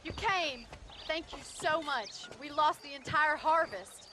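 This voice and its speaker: A woman's voice: high-pitched, takes it slowly, bright, forceful tone, soft background music, exaggerated voice. An actress playing a plucky, plain-spoken young woman rancher in a video game, wry and forthright, with a rural American Western accent. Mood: grateful. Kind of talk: video game cutscene acting.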